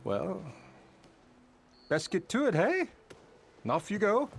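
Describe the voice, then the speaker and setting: Pompous, self-important boss; slick and flattering, pitch rides high and all over. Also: male voice, slow, creaky, a little hiss or hum, full character voice. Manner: grandiose, flattering, slyly evasive. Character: pompous blustering middle-aged male labor boss. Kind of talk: video game dialogue.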